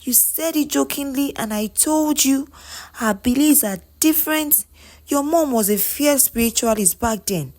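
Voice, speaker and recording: A woman's voice: high voice, lively intonation, a little slow, darkish tone, pitched above the performer's own voice. A Nigerian woman voicing a firm, defensive father in a story, explaining himself insistently.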